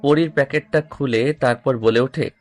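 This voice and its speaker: Casting: young male narrator, mid-pitched voice, first-person romantic audio story with acted dialogue. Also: normal speed.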